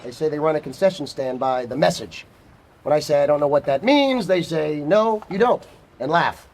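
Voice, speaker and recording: An actor playing a gentle, earnest, eccentric male scientist in a radio comedy, husky and sincerely matter-of-fact about absurd things. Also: a little high, expressive, normal speed. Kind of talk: radio comedy drama acting.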